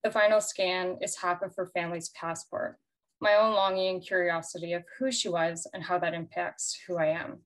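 A woman artist reading her prepared statement slowly and carefully over a video call. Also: on the low side, fairly even intonation, poor audio. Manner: slow, measured reading. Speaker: exhibiting artist.

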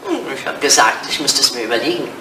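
Elderly English lady, radio drama: forthright widow, very lively intonation, playful jabs, old roomy recording with faint noise. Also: rumbling low, fast. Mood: coy, teasing. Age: older. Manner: playful, pointed, animated. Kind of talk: German radio play dialogue, retro 1980.

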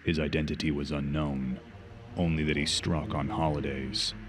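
A man's voice: rumbling low, level tone, normal speed. A man voicing a brooding, stern masked vigilante in a comic fan dub, terse and grave. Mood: grim, commanding. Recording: music bed behind.

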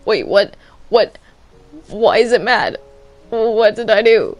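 An excitable, stammering online gamer chatting casually in character during a roleplay game. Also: mid-range voice, slow pace. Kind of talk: casual in-character roleplay chat while gaming.

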